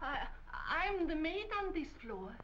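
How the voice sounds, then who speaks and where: A woman's voice: sits high, big pitch swings, a little slow, fairly bright tone, slight background noise, full character voice. A woman playing a meek, hesitant hotel maid in a 1940s film. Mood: timid. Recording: muffled old 1940 film soundtrack.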